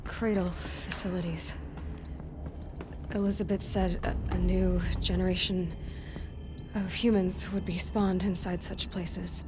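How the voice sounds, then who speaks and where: A woman's voice: lowish voice, little pitch movement, a little slow, over music, lightly acted. A woman playing an earnest, searching young hunter in a video game, speaking in an intent, questioning way. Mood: searching, awed. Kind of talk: understated video game drama dialogue.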